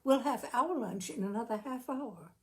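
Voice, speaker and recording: A woman voicing a brisk, patronizing housewife who manages an elderly relative in a storybook reading. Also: fairly high pitch, lively intonation, close to an everyday voice. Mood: politely managing. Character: brisk, managing younger housewife humoring her father-in-law.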